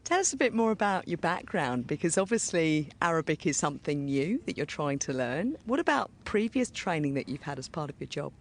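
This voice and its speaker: A male interviewer asking clear, measured questions. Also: fairly high pitch, very animated.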